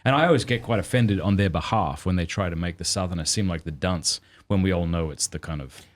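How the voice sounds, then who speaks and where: A man's voice: low voice, fast. A man with an Australian accent hosting a podcast, talking candidly and self-deprecatingly in casual conversation. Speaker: podcast host.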